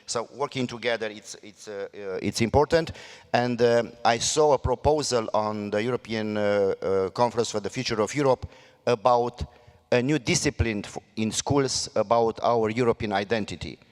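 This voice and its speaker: A man with a Romanian accent speaks in earnest, halting English, stopping often to search for words. His voice sits at an ordinary middle pitch. A long hall reverb trails after him, as at a conference. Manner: halting, many fillers and restarts, earnest. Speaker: mayor on a panel. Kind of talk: panel debate remarks.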